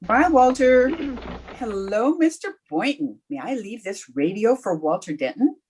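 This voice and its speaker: An actress playing a wry, wisecracking high school teacher in an old-time radio comedy, with dry, sardonic delivery. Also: female voice, animated, measured pace, darkish tone, slight background noise, rough recording. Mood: chatty, coy. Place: remote recording over video call.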